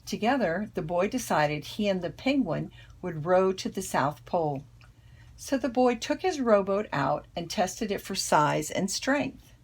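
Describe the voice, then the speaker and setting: An older woman, a grandmother with a husky, creaky voice, reading a children's picture book aloud. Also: medium pitch, animated, unhurried. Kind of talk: children's storybook read-aloud.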